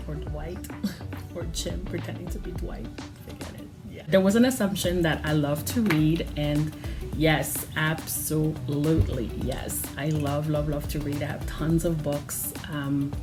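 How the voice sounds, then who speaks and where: A woman, a Lingala speaker, chatting casually to camera at home and laughing often. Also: sits low. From Democratic Republic of Congo. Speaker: vlogger. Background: background music.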